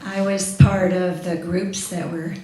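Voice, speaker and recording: A woman's voice: lowish voice, fairly even intonation, unhurried, warm tone. A woman telling informal anecdotes to a memorial gathering, chuckling as she reminisces. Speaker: speaker sharing memories at a memorial. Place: echoey back room at a gathering. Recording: very echoey room, clearly noisy.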